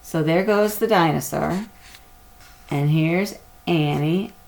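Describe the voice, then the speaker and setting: A woman narrating a children's chapter book aloud in an expressive storytelling manner. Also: sits low, slow pace, gravelly, slight background noise, rough recording. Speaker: storybook reader.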